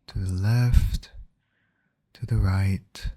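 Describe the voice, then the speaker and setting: A man acting as a calm, caring medic in an ASMR roleplay, gently reassuring a patient and explaining each step. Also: deep voice, very slow, exaggerated voice. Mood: gentle, businesslike. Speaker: voice actor in roleplay audio.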